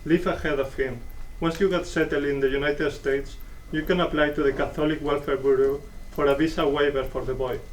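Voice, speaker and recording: A man's voice: on the high side, steady tone, a little fast, few pauses, lightly acted. A man playing a patronizing, self-assured American embassy official in a dramatic reading of a stage play. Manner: patronizing, formal. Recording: distant microphone, faint room noise.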